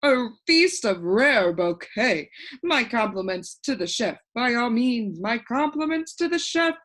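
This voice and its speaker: A woman voicing a storybook humbug, a pompous, flattering, fickle male bug courtier who talks in flowery, repetitive, emphatic phrases. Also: a little high, unhurried, voice pushed up, exaggerated voice.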